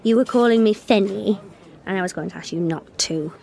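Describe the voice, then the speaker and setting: An actress playing a forthright, wry young Englishwoman in a radio comedy, brisk, teasing and direct. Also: medium pitch, vocal fry, a little mellow, natural voice. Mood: wry, firm. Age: young adult. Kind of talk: radio comedy drama acting.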